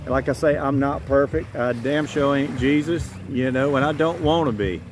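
Southern man, 50s, plainspoken vlogger, profane and rambling, phone mic outdoors with clear ambient noise. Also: medium pitch, normal speed. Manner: blunt, profane, rambling with many "you know"s. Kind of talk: casual vlog monologue. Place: outdoors on a tropical beach. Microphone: phone camera at arm's length.

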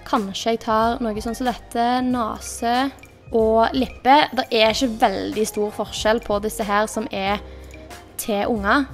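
A woman's voice: a little high, flat delivery, soft background music. A young woman giving casual, chatty commentary as she plays, thinking aloud as she picks options, recorded at a desk in her bedroom. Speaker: gaming YouTuber.

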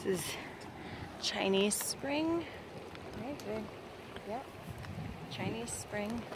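A woman's voice: medium pitch, lively intonation, very slow. Young woman chatting along a trail, camera at arm's length, noisy outdoor audio. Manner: chatty, pointing things out, filler-heavy. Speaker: vlogger hiking on her honeymoon. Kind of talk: casual trail narration. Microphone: camera held at arm's length.

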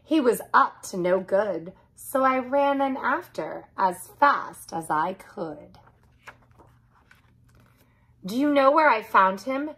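A woman in her thirties reading a children's story aloud in an expressive narrating style. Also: a little high, slow pace, breathy edge. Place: small furnished room indoors.

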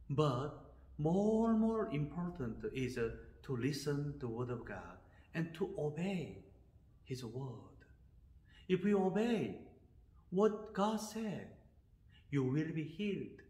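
A middle-aged priest with a Korean accent gives a slow, earnest homily, pausing on fillers as he explains the gospel reading. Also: on the high side. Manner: slow, earnest preaching with hesitant fillers and sweeping hand gestures. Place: small church chapel with brick wall, indoors. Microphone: fixed camera at desk, seated. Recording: echoey chapel room.

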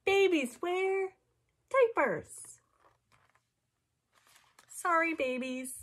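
A woman in her thirties, a teacher reading a picture book aloud to children with animated, exaggerated delivery and drawn-out words. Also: very high-pitched. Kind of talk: expressive children's storybook read-aloud. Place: small indoor room with classroom displays.

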